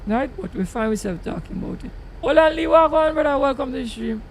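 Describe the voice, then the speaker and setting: A man's voice: extremely high pitch, fast talker. A man with a Tobagonian accent, hosting a gaming live stream and talking in rambling, ranting Creole speech. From Tobago, Trinidad and Tobago. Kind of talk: casual live-stream chat while gaming.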